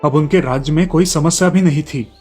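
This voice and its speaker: A man's voice: fairly high pitch, fairly quick, darkish tone, soft background music. A man reading scripted narration for children's moral stories as the storyteller.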